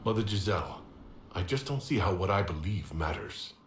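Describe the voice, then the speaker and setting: A man's voice: sits low, lively intonation, moderate pace. A man playing a doubtful, practical leader in a video game, blunt and questioning. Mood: skeptical, blunt. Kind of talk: video game dialogue acting. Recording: roomy with clear background noise.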